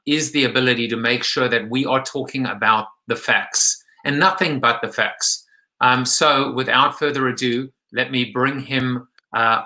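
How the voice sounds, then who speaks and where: A man in his forties from South Africa hosts a live show from a room at home, speaking into a webcam. His voice is bright and forward, with pitch in the middle range for a man, and he talks haltingly, restarting often. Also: rough recording. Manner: halting, many fillers and restarts. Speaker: host. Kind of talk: live online interview show. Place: home room with lamp and blinds. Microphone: webcam at desk.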